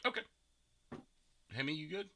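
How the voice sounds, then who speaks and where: A man's voice: fairly high pitch, animated, moderate pace, bright, forceful tone, a little hiss or hum. A man hosting a podcast, chatting casually as he reviews past game episodes. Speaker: podcast host and streamer.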